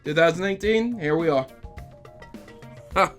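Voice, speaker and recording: High-pitched young man ranting over music. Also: measured pace, a bit bright. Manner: ranting, sarcastic, emphatic. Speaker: host of a video game news show. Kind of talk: opinionated news commentary.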